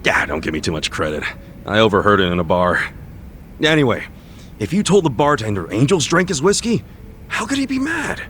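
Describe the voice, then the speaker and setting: A man's voice: lowish voice, big pitch swings, a little fast, a bit bright, slight background noise. An actor playing an easygoing, brash, optimistic man in a video game dub, speaking in slangy, informal dialogue. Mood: breezy, reassuring. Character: easygoing, brash, optimistic street-smart man, a loyal buddy.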